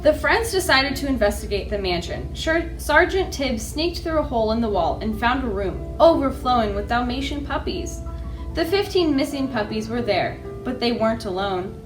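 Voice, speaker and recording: A woman's voice: on the high side, expressive, moderate pace. A woman storyteller reading a children's storybook aloud expressively, with a dramatic manner. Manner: dramatic, with asides to young listeners. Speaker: library storyteller. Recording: echoey room with faint music.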